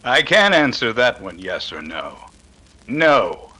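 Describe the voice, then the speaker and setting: An actor playing a cool, skeptical detective with dry, precise speech, in a 1930s-style radio drama. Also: male voice, medium pitch, very animated, takes it slowly, bright, forceful tone, breathy edge, full character voice. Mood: cool, inquiring.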